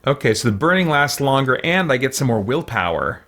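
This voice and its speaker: Man, medium pitch, casual let's play commentary, musing, tentative, self-directed thinking aloud. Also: sing-song, brisk, sharp tone. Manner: musing, tentative, talks to himself. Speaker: gamer hosting a let's play.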